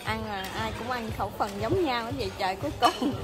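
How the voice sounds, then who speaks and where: A woman's voice: on the high side, animated, fast, over a noisy crowd, very noisy. Chatty woman vlogger. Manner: chatty, narrating while walking, many fillers. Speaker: travel vlogger. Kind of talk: casual walkthrough vlog. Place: hotel breakfast buffet. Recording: loud music under voice. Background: loud background music.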